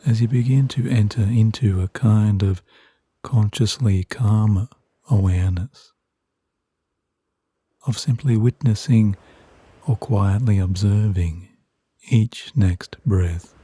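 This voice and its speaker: A man narrating guided sleep hypnosis in a soothing, hushed, measured way with long pauses between phrases. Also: lowish voice, breathy edge, no room sound.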